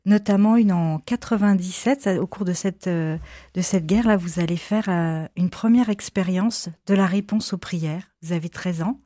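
A woman hosting an interview, asking calm, guiding questions. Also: on the low side, moderate pace, no echo, clean studio sound. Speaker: host.

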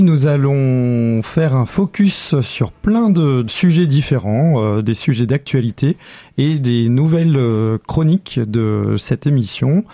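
A man hosting a radio show in a studio, easygoing as he steers his guests along. His voice is gravelly and mellow, and the sound is dry and close. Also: mid-range voice, no room sound. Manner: easygoing, guiding with short prompts. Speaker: radio host. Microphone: studio microphone.

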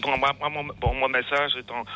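Hesitant man calling in, very bright voice. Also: medium pitch, little pitch movement, fairly quick. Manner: hesitant, rambling, repeats words. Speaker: phone-in caller. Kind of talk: spontaneous radio call-in remarks. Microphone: telephone call.

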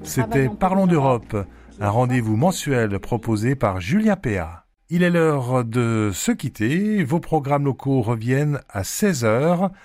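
A man's voice: medium pitch, big pitch swings, a little slow, darkish tone, no room sound. A man reading the closing station announcement on radio.

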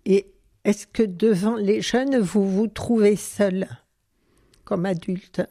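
Slow-talking woman interviewer, mellow, stumbles a lot looking for words. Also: medium pitch, fairly even intonation. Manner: halting, searching for words. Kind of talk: interview questions.

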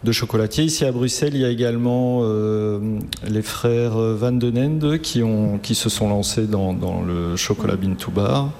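Hesitant man with a mellow voice. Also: fairly low pitch, moderate pace, vocal fry, hardly any pauses. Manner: hesitant, many fillers. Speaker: chocolatier, guest. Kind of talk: casual interview talk.

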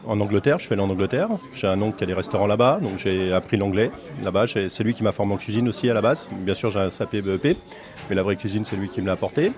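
A man's voice: lowish voice, fast, crowd noise. A middle-aged French man who owns a café, chatting casually in an interview with many fillers and restarts. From France. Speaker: café owner.

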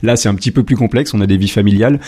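A French man in his forties, a company founder answering interview questions in a measured way with many hesitations. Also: on the low side, dark tone. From France. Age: 40s.

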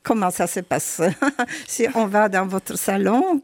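A woman's voice: medium pitch, slow. An older woman hosting a radio interview, chatty and exclaiming, tagging her sentences with little asides. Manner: chatty, exclaiming, adds "hein". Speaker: radio show host.

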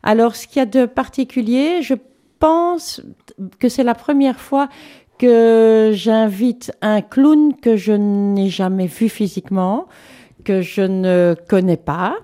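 A woman hosts a radio interview from a studio, her voice mellow and mid-pitched. She sounds cheerful and draws out her questions, giving each word time. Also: slow. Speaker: radio host.

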